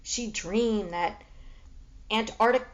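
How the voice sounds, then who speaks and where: A woman reading a children's book aloud as expressive storybook narration. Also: on the high side, slow pace, highs cut off.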